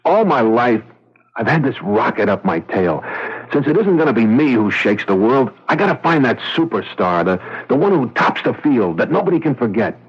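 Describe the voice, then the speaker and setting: An actor playing a blunt, agitated husband, a driven and haunted talent agent, in old-time radio drama style. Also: male voice, mid-range voice, big pitch swings, normal speed, breathy edge. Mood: haunted, pleading. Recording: dull vintage radio recording.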